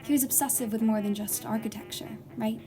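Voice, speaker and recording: Woman as a high-voiced investigative reporter. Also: fairly even intonation, mellow, close to an everyday voice. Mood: probing. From Northern Virginia. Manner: measured, probing. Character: probing female investigative podcast reporter, serious, composed. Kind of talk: scripted investigative podcast narration. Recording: music behind the voice.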